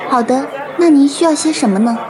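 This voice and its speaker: A woman's voice: high voice, brisk, a crowd in the background, close to an everyday voice. A woman acting an overworked young waitress and caring older sister in a radio drama. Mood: polite, hurried.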